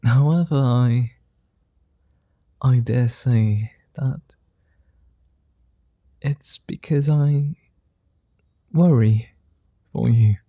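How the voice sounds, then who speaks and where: A man voicing a gentle, flirtatious immortal vampire in an ASMR roleplay, speaking softly, slowly and teasingly. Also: mid-range voice, no room sound, studio quality. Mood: tender. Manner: soft, slow, flirty and teasing.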